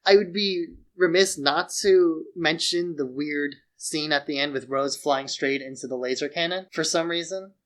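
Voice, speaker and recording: A man's voice: fairly high pitch, normal speed, hardly any pauses, a bit bright. A man co-hosting a podcast, giving opinionated, analytical takes in casual film discussion. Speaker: podcast co-host.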